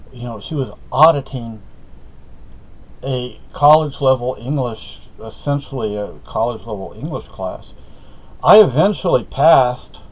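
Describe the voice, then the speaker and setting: Rambling middle-aged man, lo-fi sound. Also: medium pitch. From Spain. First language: Spanish. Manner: meandering, hesitant, many fillers and self-corrections. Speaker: vlogger. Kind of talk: rambling personal monologue. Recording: slightly dull lo-fi audio.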